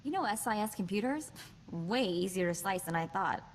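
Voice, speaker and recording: An actress playing a sassy, quick-witted young woman hacker in a video game, with a chatty, wry delivery. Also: medium pitch, expressive, slight background noise, close to an everyday voice. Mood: curious, excited. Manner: wry, chatty, hesitant asides.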